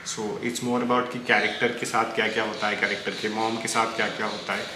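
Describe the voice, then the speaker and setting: A man's voice: lowish voice, flat delivery, racing. A man with an Indian accent chatting casually and opinionatedly about books, rambling and mixing Hindi into English. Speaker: book reviewer reacting to recommendations. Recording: echoey room with clear background noise.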